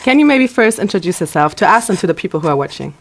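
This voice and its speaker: A woman hosting a campus TV interview, reading a scripted intro and asking friendly questions briskly. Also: on the low side, expressive.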